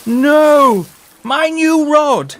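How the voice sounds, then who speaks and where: A man voicing an excitable, stubborn twelve-year-old storybook boy with emphatic, insistent delivery. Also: extremely high pitch, extremely slow, background noise, voice pushed up, exaggerated voice. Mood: panicked.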